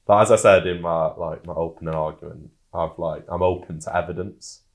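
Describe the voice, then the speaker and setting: A man arguing his point in a measured way during a group discussion. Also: deep voice, steady tone.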